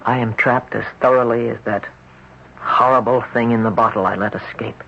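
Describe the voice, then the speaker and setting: A man's voice: medium pitch, steady tone, moderate pace, creaky, pushed and bright, slightly rough, heavily acted. An actor playing a desperate, haunted male accident survivor, urgent and pleading. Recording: muffled vintage radio broadcast.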